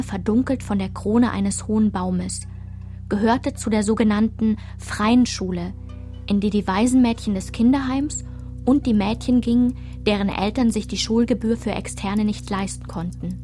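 A woman's voice: mid-range voice, moderate pace, warm tone, lightly acted. A woman reading scripted literary narration as the narrator of a radio play. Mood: measured. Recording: music behind the voice.

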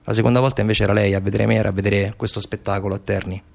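A man giving a theatrical monologue as a stage narrator, measured, with deliberate pauses and repetitions. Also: on the low side, little pitch movement, vocal fry. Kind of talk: theatrical monologue, storytelling and recitation. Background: instrumental music.

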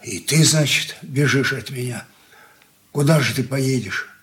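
An older actor playing a stern, bewildered old father in a radio drama, with a weighty, halting manner. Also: mid-range voice, a bit bright, a little hiss or hum, close to an everyday voice. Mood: bewildered, sad. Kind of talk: Soviet radio play acting.

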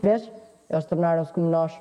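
A man playing a solemn, measured divine being passing judgment in a radio drama. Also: a little high, steady tone, close to an everyday voice. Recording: muffled, long hall-like reverb.

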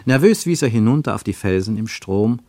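An actor as the narrator of a literary radio play, telling a travel story in measured, rhythmic phrases. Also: male voice, fairly low pitch, animated, warm tone, no room sound. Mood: measured, descriptive.

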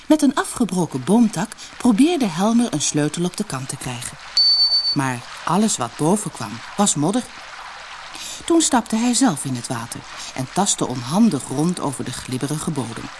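Low-voiced woman reading fairy tales expressively. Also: big pitch swings, background noise. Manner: dramatic, measured storybook delivery. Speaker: storyteller reading a fairy tale audiobook. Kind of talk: expressive scripted fairy tale narration.

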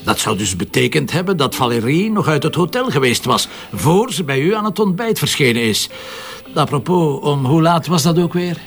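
A man's voice: medium pitch, vocal fry. A man with a Flemish accent playing a probing, sceptical police commissioner in a crime drama, questioning in a dry, insistent way. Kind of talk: radio crime drama dialogue.

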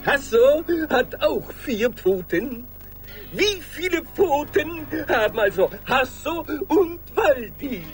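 An actor playing a pompous, pedantic logician, explaining in a precise, self-satisfied way in an absurdist radio play. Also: male voice, very high voice, sing-song, slow, faint music behind, exaggerated voice, low-quality recording. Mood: didactic. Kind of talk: 1980s German radio play acting.

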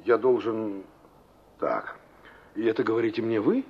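An actor playing a cocky young carpenter in a Soviet radio play, brusque, teasing and colloquial. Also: male voice, on the low side, measured pace. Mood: grumbling, ironic. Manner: mocking, colloquial, gruffly flippant. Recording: dull 1960s radio play recording.

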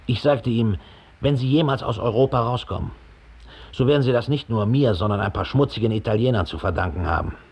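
A man's voice: medium pitch, brisk, vocal fry, a little mellow, slightly rough. An actor playing a cynical, smug former Gestapo officer telling his story with open scorn in a 1960s German radio drama. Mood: contemptuous, grudging.

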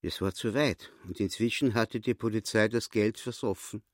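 An actor with an Austrian accent playing a sly, boastful small-time swindler in a radio play, theatrical, gloating and mock-courteous. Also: male voice, on the low side, normal speed, no echo, clean studio sound, close to an everyday voice. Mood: scheming.

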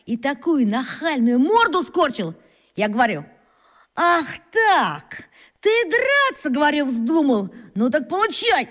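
A woman's voice: sits high, in a large hall. A woman playing a boastful, self-important schoolboy of about eleven in a children's radio play. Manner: cocky, swaggering, emphatic storytelling. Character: boastful schoolboy, fifth grader, self-important would-be detective.

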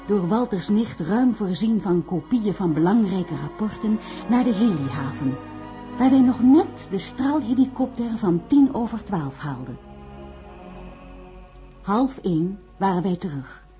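An earnest, worried woman played by an actress in a science-fiction radio play. Her voice is soft-edged and mellow at a middle pitch, with music underneath. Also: lively intonation, unhurried, muffled recording, close to an everyday voice. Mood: earnest, narrating. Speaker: narrator and lead character in a radio play. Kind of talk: Dutch radio drama acting, narrating and dialogue.

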